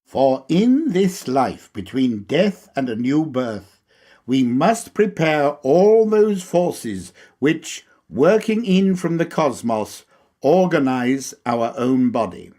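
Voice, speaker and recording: Slow, deliberate man reading a lecture. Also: mid-range voice, big pitch swings, mellow. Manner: deliberate, measured phrasing. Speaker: reader of a lecture. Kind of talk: scripted lecture narration.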